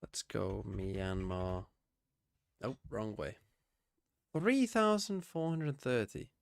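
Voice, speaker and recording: A man's voice: on the low side, expressive, takes it slowly, vocal fry, clear, resonant tone, dry recording, clean studio sound. A man streaming live, chatting casually and muttering to himself as he plays. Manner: muttering to himself while playing.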